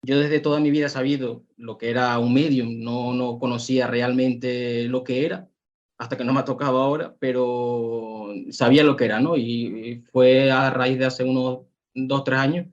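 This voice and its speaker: A man from Ecuador answers interview questions earnestly and at length, often restarting his sentences. His voice is mid-pitched and stays flat. The call audio is dull and lo-fi. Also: normal speed. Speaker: guest, a nurse.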